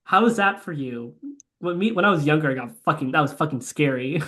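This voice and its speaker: Brief: young male podcast co-host, slightly high voice, swears freely, eager plot recaps. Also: animated, a little fast, bright, forceful tone. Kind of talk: casual movie chat.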